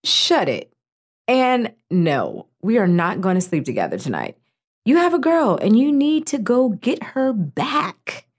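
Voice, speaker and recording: A woman playing a world-weary, blunt young female survivor in an amateur audio drama, with a husky voice and wry manner. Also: mid-range voice, big pitch swings, unhurried. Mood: blunt, dismissive.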